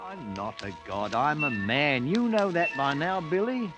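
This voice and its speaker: A man's voice: on the high side, lively intonation, unhurried. An actor playing a swaggering, stubborn British adventurer who made himself king, in an old-time radio drama. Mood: defiant, impatient. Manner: blustering, colloquial. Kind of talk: 1940s radio drama acting. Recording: old radio broadcast with music bed.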